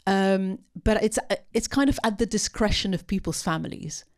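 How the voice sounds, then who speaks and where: A woman speaking unscripted at a post-film discussion, answering audience questions with many pauses, ums and restarts. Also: medium pitch. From part Syrian.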